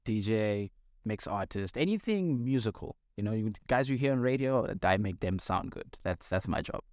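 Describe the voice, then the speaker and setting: A man's voice: fairly low pitch, lively intonation, darkish tone, no echo, clean studio sound. A man in his twenties, a music producer, chatting casually and joking with easy laughs. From Zimbabwe. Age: 20s. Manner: laid-back, jokey, quick to laugh. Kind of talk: casual chat.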